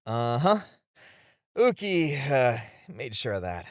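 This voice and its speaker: A man's voice: medium pitch, big pitch swings, takes it slowly, clean tone, no room sound, studio quality. A man playing a friendly, easily flustered bartender and playful flirt in an ASMR roleplay, prone to stammering. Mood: warm, admiring.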